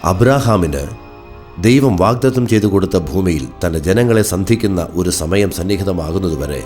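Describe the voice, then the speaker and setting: A man's voice: low voice, expressive, moderate pace, a little mellow, faint music behind. A man narrating a dramatic scripted Bible story as its narrator.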